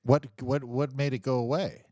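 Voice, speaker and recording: A man's voice: medium pitch, flat delivery, normal speed, darkish tone, dry recording, studio quality. A man in casual comedic chat as a co-host, reacting with laid-back interjections and quips.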